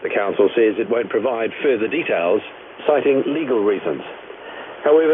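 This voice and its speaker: A man with a New Zealand accent reading a scripted radio news bulletin as presenter. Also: mid-range voice. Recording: noisy narrowband shortwave radio broadcast.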